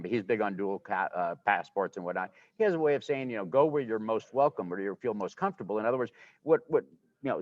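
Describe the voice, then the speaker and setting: Older guy talking quick and rambly, dry dull sound. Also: on the low side, dry recording. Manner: rambling, enthusiastic. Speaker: guest. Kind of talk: casual conversation. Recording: dull, muffled livestream audio.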